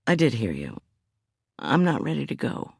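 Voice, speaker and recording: A woman reader plays a shaken but stubborn female prosecutor in a thriller audiobook. She sounds insistent and curt, pitched up with big swings in intonation, close and dry. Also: wildly swooping pitch, moderate pace, creaky, a little mellow, slightly rough, no room sound, exaggerated voice. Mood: shaken, resistant. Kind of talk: audiobook character dialogue.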